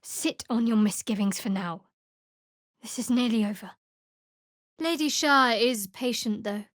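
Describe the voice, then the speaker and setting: A woman playing a guarded young half-elf cleric in a fantasy video game, with a dry, sardonic, measured delivery. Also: on the high side, fairly bright tone, no echo. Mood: reserved, earnest.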